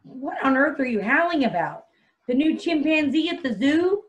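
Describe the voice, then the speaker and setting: A devoted, anxious young wife from a stage play played by a woman. Her voice is high and genteel, earnest and eager to placate. It comes through slightly muffled, like a video call. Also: lively intonation, normal speed. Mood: weary, fond. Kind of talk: stage play table read. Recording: dull video-call audio.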